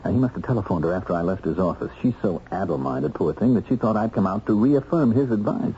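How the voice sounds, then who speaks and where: An actor playing an earnest, wry ex-convict husband in a radio drama, with a measured and sometimes hesitant delivery. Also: male voice, on the low side, expressive, mellow, lightly acted. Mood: earnest, intent. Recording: dull, noisy old radio recording.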